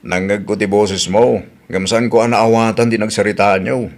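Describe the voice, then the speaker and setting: A man playing an inquisitive, low-key old husband in an Ilocano radio drama. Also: steady tone, moderate pace, close to an everyday voice.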